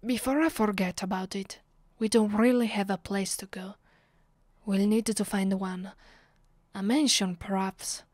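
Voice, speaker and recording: A woman with an Italian accent playing a commanding, vengeful vampire in an ASMR roleplay, her voice soft and breathy, often sinking to a whisper. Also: medium pitch, steady tone, no echo, studio quality, close to an everyday voice. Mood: calm, plotting. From Italy. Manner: slow, deliberate, imperious. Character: commanding, vengeful female vampire, secretly affectionate. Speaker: ASMR voice actress.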